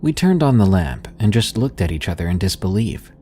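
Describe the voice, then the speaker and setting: A man narrating scary stories in a slow, hushed storytelling manner, with soft music behind him. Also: deep voice, very animated. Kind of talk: scripted horror narration.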